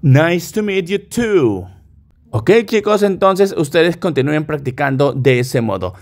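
A man from Peru with a Peruvian Spanish accent, a native Spanish speaker teaching English step by step and modelling phrases deliberately for repetition. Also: a little high, animated. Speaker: English teacher, online course host. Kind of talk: step-by-step language lesson with repetition drills.

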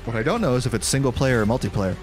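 A man's voice: mid-range voice, lively intonation, very fast. A man co-hosting a podcast in casual live reaction chat. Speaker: podcast co-host. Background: showcase trailer audio and music.

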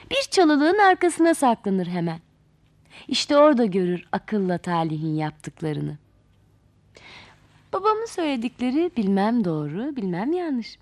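A woman narrating a fairy tale for children in an expressive, theatrical way, addressing young listeners directly. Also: high-pitched. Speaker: children's storyteller.

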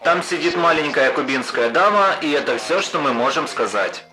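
A man's voice: a little high, animated, moderate pace, pushed and bright, faint music behind, poor audio. A man voicing an earnest mission operator over the radio in a parody cartoon dub, giving businesslike instructions. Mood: instructing.

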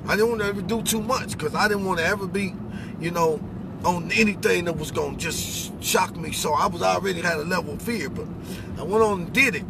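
A man's voice: high voice, brisk, gravelly. A middle-aged man telling personal stories with some preaching, in a colloquial, emphatic way that repeats phrases. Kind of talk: animated personal storytelling with preaching. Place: inside a pickup truck cab. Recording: noisy vehicle cabin.

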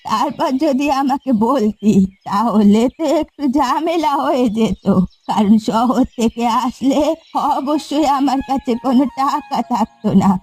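A woman's voice: sits high, unhurried, darkish tone, husky. A woman voicing a bossy but kind old village granny in a cartoon fairy tale, talkative and fondly scolding. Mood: scolding, kindly.

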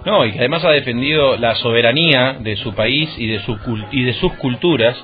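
A man's voice: medium pitch, a little fast. A man with a Rioplatense Argentine accent hosting a radio interview and adding political commentary. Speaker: radio host. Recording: tinny phone-like sound over music.

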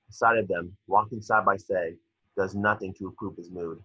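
A man's voice: deep voice, fairly even intonation, moderate pace, bright, forceful tone, slightly rough, no room sound. A man reading stage directions aloud as narrator at a table read, now and then stumbling over words. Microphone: video call. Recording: dull lo-fi video call audio.

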